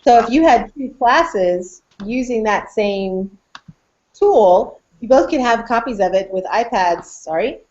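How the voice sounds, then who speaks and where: A woman's voice: fairly high pitch, lively intonation, slow, a little mellow. A middle-aged woman co-hosting a casual chat for educators, upbeat and encouraging as she walks through tools. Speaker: podcast co-host and educator. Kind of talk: casual chat with tool demonstration. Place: small indoor office, video call. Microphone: computer microphone on video call. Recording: dull, lo-fi video call audio.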